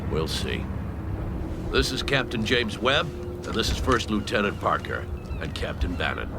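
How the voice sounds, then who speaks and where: An actor playing a stern, domineering American army colonel in a game cutscene, curt and intimidating. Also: male voice, fairly low pitch, very animated, moderate pace, gravelly, background noise. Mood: grudging. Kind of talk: video game cutscene acting. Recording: loud music behind.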